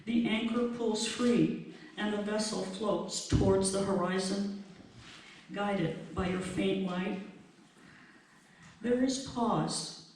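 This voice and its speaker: Slow-paced woman reciting poetry, very echoey. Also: medium pitch, a little mellow. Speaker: poet reading her poem. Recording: very echoey room, distant mic, faint noise.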